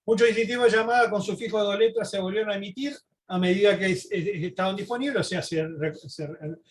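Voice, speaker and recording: A man giving an informal slide lecture, halting, repeating words and often adding short questioning tags. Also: high voice, a little hiss or hum. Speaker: presenter giving a history talk.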